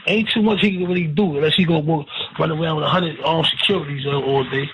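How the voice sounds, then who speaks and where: A man's voice: fairly high pitch, fast talker, a bit bright. A man with an American accent chatting casually in street slang. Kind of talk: casual street-talk chat. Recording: phone-like narrow band, background noise.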